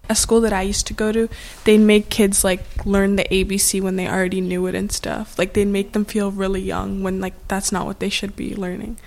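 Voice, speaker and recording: A woman's voice: medium pitch, level tone, moderate pace, gravelly, few pauses, darkish tone. A teenage girl born in Canada, talking casually with many fillers. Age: teens. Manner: casual, lots of "like". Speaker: interviewee.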